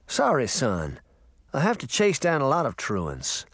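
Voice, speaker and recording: A man's voice: medium pitch, wildly swooping pitch, a little slow, slightly rough, dry recording, studio quality, exaggerated voice. A man voicing a harried, baffled sheriff in a storybook, polite and concerned. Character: harried, baffled small-town lawman, polite, grown man. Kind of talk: audiobook character voice.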